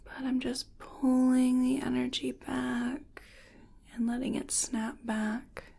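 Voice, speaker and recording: A woman plays a calming reiki practitioner for an ASMR roleplay. Her soft voice is breathy and whispered, with a creaky edge. She takes her time, speaking very slowly and gently, as if giving close personal attention. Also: high-pitched. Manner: gentle, soothing, unhurried. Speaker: ASMR performer role-playing a reiki practitioner.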